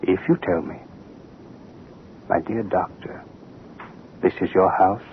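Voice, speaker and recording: A haughty propaganda official in an old radio drama. His voice is low and commanding, with clipped, pompous orders. The recording is thin and narrow, with some noise behind it. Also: takes it slowly. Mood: menacing, mocking. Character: arrogant, bullying propaganda official, a man of authority. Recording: tinny, noisy vintage radio recording.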